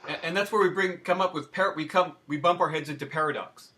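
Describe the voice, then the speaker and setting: Thoughtful, halting male interviewer. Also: fairly high pitch, sharp tone. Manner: halting, searching for words, thoughtful. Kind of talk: conversational interviewing.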